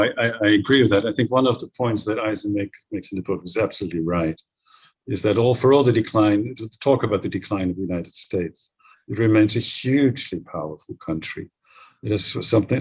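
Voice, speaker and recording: A middle-aged Dutch-native man speaking on a discussion panel, his voice low-pitched and a bit husky. He argues his points bluntly and emphatically.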